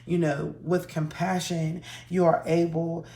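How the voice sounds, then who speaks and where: A hoarse woman giving a relaxed, chatty tarot reading to her viewers, with frequent ums. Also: lowish voice, unhurried, roomy sound. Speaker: tarot reader.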